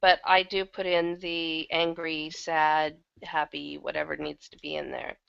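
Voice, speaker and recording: A woman talks candidly and at length in a casual interview. Her voice is on the low side and gravelly, as from a slightly thrashed throat. The recording is dull and lo-fi. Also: little pitch movement, unhurried, a bit bright, no room sound. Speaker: interview guest, audio drama actor and producer.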